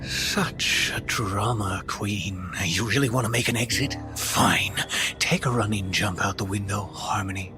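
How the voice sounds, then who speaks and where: A man's voice: lowish voice, unhurried, natural voice. An actor playing a smug, cruel man in an audio horror drama, taunting in a sneering drawl. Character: smug, cruel, mocking man bullying his friends. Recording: music under the voice.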